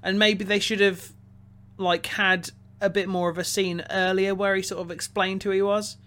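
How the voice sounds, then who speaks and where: A man's voice: high voice, level tone, moderate pace, a bit bright. A man co-hosting a casual film podcast, speaking hesitantly and often repeating words as he forms his opinion. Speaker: podcast co-host, film reviewer. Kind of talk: casual chat about film.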